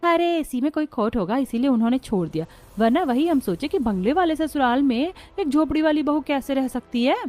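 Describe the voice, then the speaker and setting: A woman's voice: sits high, lively intonation, a little fast, hardly any pauses, a little mellow. A woman voicing a gossipy village neighbour with a mocking, needling delivery.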